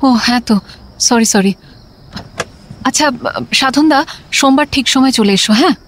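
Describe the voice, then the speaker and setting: A woman's voice: sits high, normal speed, slight background noise, close to an everyday voice. A woman playing a brilliant, feisty young doctor and anatomy professor in an audio drama. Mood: distracted, polite.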